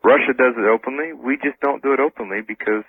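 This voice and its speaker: Husky man on a tinny phone line. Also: medium pitch, quick pace, no room sound. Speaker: interview guest on talk radio. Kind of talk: casual explanatory interview talk. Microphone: telephone.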